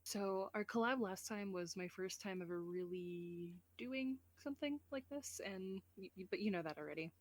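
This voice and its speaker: A woman's voice: medium pitch, moderate pace, hardly any pauses. A woman talking casually as a guest voice actor in an outro chat.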